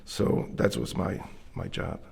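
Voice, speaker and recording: A middle-aged man with a Polish accent, earnestly telling his story in an interview, often halting and restarting his sentences. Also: a little mellow. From Poland. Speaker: interviewee, Navy SEAL veteran.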